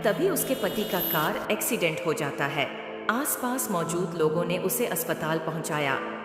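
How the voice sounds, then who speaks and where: A woman narrating a devotional moral story from a script. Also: mid-range voice. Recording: echoey, long reverb, music bed. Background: clear music.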